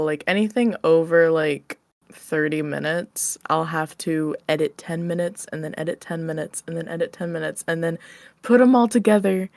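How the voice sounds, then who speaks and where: A woman with a slightly low voice talks as a guest in a casual interview. She is candid, informal and prone to giggling. Her sound is very dry, with hardly any room around it. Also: no room sound. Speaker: interview guest, audio roleplay creator. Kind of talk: casual chat.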